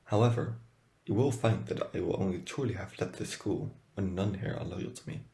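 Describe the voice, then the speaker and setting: A man playing a calm, wise elderly wizard headmaster in a fan audio drama, speaking softly and solemnly. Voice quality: low, gravelly, soft-spoken. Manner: measured, solemn. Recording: roomy, echoing voice track.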